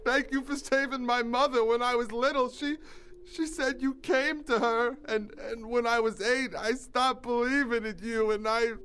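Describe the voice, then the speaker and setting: A very high-voiced, awestruck stagehand. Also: male voice, steady tone, normal speed, a bit bright, slight background noise. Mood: awed, tearful. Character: frightened, tearful, devout male stagehand. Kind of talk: audio drama acting.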